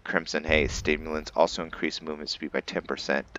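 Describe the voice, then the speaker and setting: A man giving casual, improvised game commentary in a halting, think-aloud manner as he narrates a roleplay playthrough. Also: extremely low pitch, little pitch movement, fairly bright tone, highs cut off. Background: background game music.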